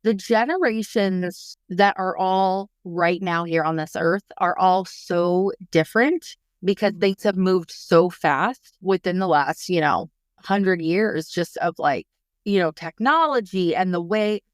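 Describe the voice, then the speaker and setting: A woman in her thirties hosts a podcast in a casual, animated way, with slangy asides. Her voice is clear and smooth at a middle pitch. It is recorded close and dry. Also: takes it slowly, dry recording. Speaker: podcast host.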